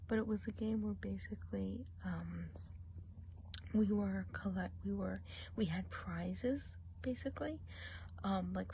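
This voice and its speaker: A gossipy witch of the woods leans in close and rambles along in a soft, breathy whisper, as a woman acts her out. She sits in the middle of the pitch range, chatting and confiding. This is an ASMR role play, and a faint hiss lies under her voice. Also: close to an everyday voice, rough recording. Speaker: eye examiner.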